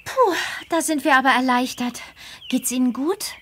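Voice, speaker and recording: A woman voicing a caring, anxious teenage girl who loves horses in a children's game, emotive and clear. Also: high-pitched, moderate pace, a bit bright, a little hiss or hum. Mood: concerned. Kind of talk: scripted children's game dialogue.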